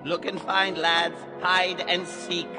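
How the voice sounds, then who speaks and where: An actor playing a mysterious, teasing man who guides young boys, theatrical and drawn-out in an audio drama. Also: high voice, level tone. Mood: playful, taunting. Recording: music under the voice.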